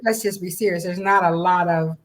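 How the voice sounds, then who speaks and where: A woman's voice: on the low side, steady tone, fairly quick. A woman co-hosting a casual chat about an event, talking in a chatty, encouraging way. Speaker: co-host.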